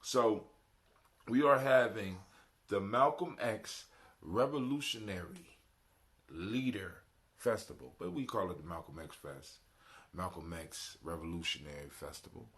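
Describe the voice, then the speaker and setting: A man's voice: on the low side, expressive, measured pace, a bit bright. A middle-aged man hosting a live internet radio show in a casual, folksy, conversational way, repeating phrases for emphasis. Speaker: podcast host.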